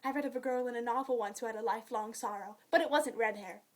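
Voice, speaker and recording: A woman's voice: on the high side, fast talker, natural voice. A woman performing a dramatic monologue as a talkative, starry-eyed young orphan girl, effusive and dreamy in a theatrical way. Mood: dramatic, sorrowful. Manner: effusive, dreamy, theatrical, swinging between gushing and wistful.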